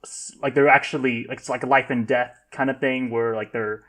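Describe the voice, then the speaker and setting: A man talking casually as a podcast guest, halting and rambling with many filler words. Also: medium pitch, fairly even intonation, fairly bright tone. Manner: rambling, halting, heavy use of "like". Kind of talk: casual group discussion.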